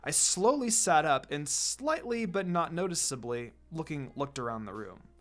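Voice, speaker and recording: Animated male fanfiction reader over music. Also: a little high, moderate pace. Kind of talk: dramatic storytelling narration. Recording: background music under the voice.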